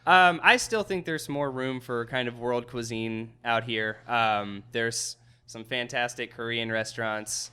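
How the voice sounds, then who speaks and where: Easygoing chef with a bright, smooth voice. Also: male voice, mid-range voice, unhurried, hardly any pauses. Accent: American Midwest. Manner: easygoing, laughs often, hesitant fillers. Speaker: chef and restaurant owner. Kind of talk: casual interview answers.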